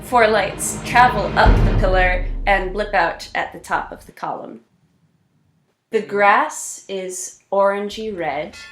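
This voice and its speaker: A woman narrating a tabletop roleplaying game as game master, describing each scene slowly and deliberately. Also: medium pitch, fairly bright tone, slight background noise. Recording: echoey room.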